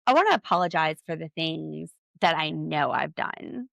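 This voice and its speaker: Woman mock-quoting a dramatic husband making excuses, kinda gravelly. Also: deep voice, expressive, normal speed, a bit bright, no room sound, lo-fi sound, full character voice. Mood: self-pitying. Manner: mocking quotation. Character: self-justifying middle-aged husband, dramatic.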